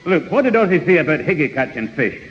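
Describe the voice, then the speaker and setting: An actor playing a dry, gruff old grandfather in a 1970s radio sitcom. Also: a little high, sing-song, fast. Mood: dry, grumbling. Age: older. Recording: echoey old radio recording, dull, hissy.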